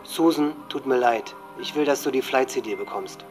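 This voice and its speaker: A man's voice: mid-range voice, moderate pace, lightly acted. Disturbed teenage boy played by a man over music. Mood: regretful farewell. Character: disturbed, hate-filled teenage male school shooter. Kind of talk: German audio drama monologue.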